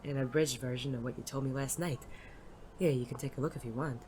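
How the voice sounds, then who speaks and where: An actor playing a world-weary, wisecracking private detective in an audio drama, dry, sardonic and casually profane, with a soft spot underneath. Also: mid-range voice, fairly even intonation, fast, a little hiss or hum, lightly acted, rough recording. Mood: dry, teasing.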